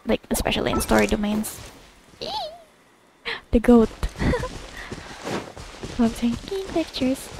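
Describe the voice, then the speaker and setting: A woman's voice: fairly high pitch, expressive, slow, creaky, long pauses, noisy background. A woman gamer giving casual, giggly commentary on a story game, reading bits aloud as she reacts. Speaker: gamer recording a story quest playthrough. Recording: game music behind the voice.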